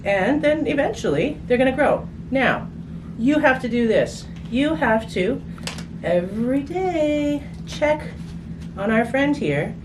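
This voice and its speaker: A woman talks in a playful, chatty way, as if showing children a simple craft step by step. She thinks aloud in a casual, unscripted style. Her voice sits away from the microphone, with clear noise around it. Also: high-pitched, expressive, slow. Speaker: children's story reader and craft host. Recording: distant mic, clearly noisy room.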